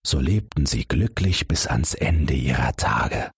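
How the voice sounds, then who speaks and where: A man reading a fairy tale aloud as a calm, expressive bedtime story, speaking directly to his listeners from a studio booth. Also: extremely low pitch, a little slow, gravelly, breathy edge, no room sound. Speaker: audiobook narrator.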